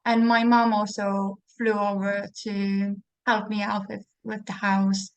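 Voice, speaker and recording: A woman's voice: mid-range voice, little pitch movement, takes it slowly, no room sound. A woman from the Czech Republic with a Czech accent, hosting a casual interview and talking chattily with fillers and restarts. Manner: chatty with frequent fillers and restarts, laughing now and then. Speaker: host.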